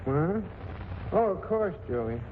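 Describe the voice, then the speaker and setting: Mild, high-voiced American radio dad. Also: male voice, sing-song, slow pace. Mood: distracted, agreeable. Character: mild distracted henpecked father reading the newspaper. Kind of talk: 1940s radio comedy acting. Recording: noisy, tinny 1940s radio recording.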